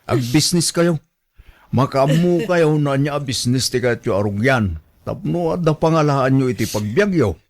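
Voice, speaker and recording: A man's voice: medium pitch, expressive, normal speed, natural voice. A man playing a placating husband and son in a radio drama, patient and pleading, with an Ilocano Filipino accent. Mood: reassuring. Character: placating husband and son caught between wife and mother.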